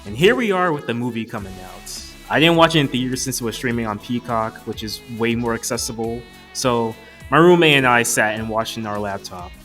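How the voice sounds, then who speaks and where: A man's voice: medium pitch, animated, brisk, a bit bright. Casual man reviewing over background music. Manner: casual, opinionated, slangy. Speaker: video reviewer. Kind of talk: conversational film review commentary.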